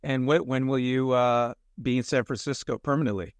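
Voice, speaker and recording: A middle-aged man hosting a podcast interview in a friendly, measured way, with frequent "uh" and "um". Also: medium pitch, steady tone, clear, resonant tone, no room sound. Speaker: podcast host.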